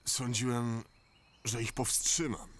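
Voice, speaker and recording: An actor playing an earnest, measured superhero man in a dubbed cartoon. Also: mid-range voice, flat delivery. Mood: weakened, apologetic. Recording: loud music under the dialogue.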